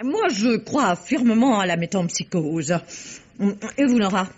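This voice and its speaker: An actress playing a whimsical older baroness in an old French radio drama, with a high, affected, fluttering voice. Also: female voice, expressive, measured pace, over music, lightly acted. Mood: dreamy. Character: whimsical aging baroness, believer in past lives. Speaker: actor. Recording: dull old radio recording.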